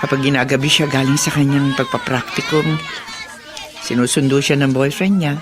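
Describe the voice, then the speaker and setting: An actress playing a dutiful, gentle middle-aged mother in a radio drama. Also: rumbling low, fairly even intonation, normal speed, a crowd in the background. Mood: reflective, content.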